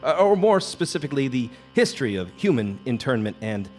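An actor playing a nervous, evasive labor company representative in a live radio drama, stammering and officious. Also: male voice, medium pitch, very animated, brisk, darkish tone, natural voice. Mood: cautious explaining. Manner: stammering, hesitant, apologetic and officious. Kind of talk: live old-time radio drama acting. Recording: live stage performance with background music. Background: music.

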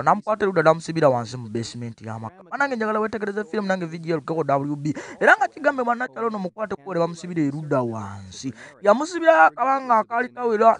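A man hyping a movie as its narrating VJ, excitable and chuckling, repeating his catchphrases. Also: sits high. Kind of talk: hyped movie trailer narration.

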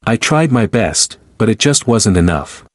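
A man with a somewhat low voice reads short answers for a language lesson, each line carefully paced. The sound is dry and close, with no room around it. His delivery stays clear and even. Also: no echo. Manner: clear, even, deliberate reading. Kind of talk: slow repeated example sentences for learners.

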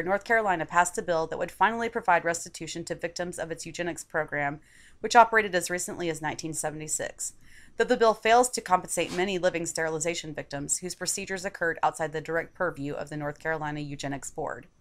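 A woman narrates a written opinion piece in a fairly low voice. Her delivery is measured and articulate, clearly read from a prepared script. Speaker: article reader.

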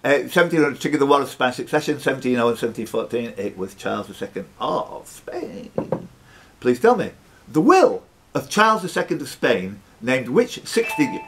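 A man's voice: medium pitch, big pitch swings, normal speed, a little hiss or hum. A man hosting a live quiz, reading out questions with teasing, sweary banter. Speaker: quiz host.

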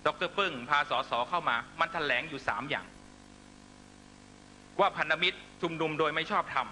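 A middle-aged man giving an impassioned political rally speech in emphatic, short measured phrases into a stage microphone. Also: fairly high pitch, pushed and bright. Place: large night-time outdoor rally stage. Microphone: stand microphone on stage. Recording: noisy live rally public-address broadcast with hum.

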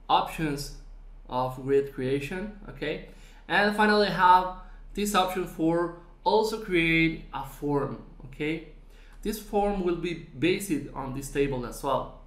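Slow-talking man with roomy echo. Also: fairly high pitch, fairly bright tone. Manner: slow, explanatory, with frequent fillers. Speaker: software demo presenter. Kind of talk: screen-recorded software tutorial. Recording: echoey room.

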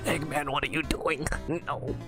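A man's voice: fairly high pitch, fairly even intonation, moderate pace, creaky, voice pushed down. A man voicing a cocky, teasing young hedgehog hero in an improvised comic fan dub. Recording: voice chat with music behind.

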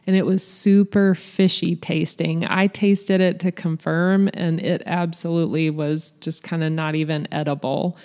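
A woman's voice: lowish voice, a little mellow. A woman giving a scripted, matter-of-fact voice-over review of a restaurant meal. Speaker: vlog host, restaurant reviewer.

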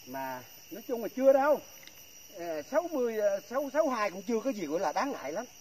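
A man's voice: high voice, sing-song, quick pace, darkish tone. A middle-aged man chatting jokingly to viewers from a pond bank, often repeating his words, picked up by a distant camera. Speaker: angler in a friendly contest, addressing viewers. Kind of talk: casual joking chat to viewers. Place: outdoors on a grassy pond bank. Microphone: camera a few metres away. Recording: distant outdoor camera, faint background noise.

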